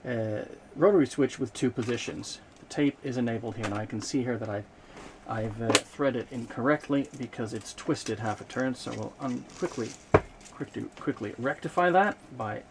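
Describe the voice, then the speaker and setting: A man giving an unscripted hands-on demonstration of vintage equipment, explaining in a measured way with hesitant pauses mid-sentence. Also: mid-range voice. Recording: noticeable background noise, room ambience.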